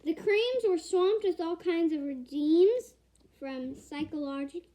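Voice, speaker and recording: A woman reading a children's picture book aloud, expressive and emphatic, sometimes halting over words. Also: extremely high pitch. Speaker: storyteller.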